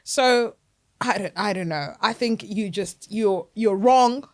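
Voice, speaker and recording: Animated Zimbabwean woman podcast co-host. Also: medium pitch, takes it slowly. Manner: animated, emphatic, laughs often. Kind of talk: casual chat.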